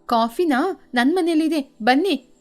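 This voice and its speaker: A woman voicing chatty, thrifty female village birds in an expressive children's cartoon style. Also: high-pitched, slow, faint music behind.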